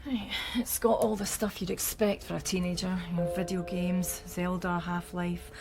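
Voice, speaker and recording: An actress playing a no-nonsense police detective in a radio drama, with a Scottish accent and a matter-of-fact manner. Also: female voice, lowish voice, flat delivery, moderate pace, creaky, a little mellow, husky, faint music behind.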